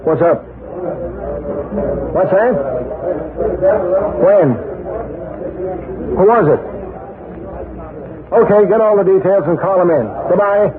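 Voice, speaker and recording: A man's voice: high voice, slow pace, long pauses, crowd noise. An actor playing a sarcastic, skeptical city desk newsman in a 1940s radio drama. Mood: urgent. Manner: wisecracking, skeptical. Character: sarcastic, needling male newspaper city desk man. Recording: tinny, hissy 1940s radio recording.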